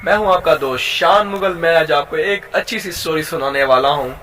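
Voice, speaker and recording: A man with a Pakistani accent and a high-pitched, bright, forceful voice tells a simple moral tale. He narrates informally, in a halting way, often repeating a phrase before he carries on. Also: slight background noise. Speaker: YouTube storyteller and channel host.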